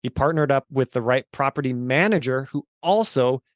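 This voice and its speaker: A man's voice: mid-range voice, moderate pace, no echo, studio quality. A man of forty, a guest in an interview, telling his story in an earnest, emphatic way. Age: 40s. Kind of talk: casual interview chat.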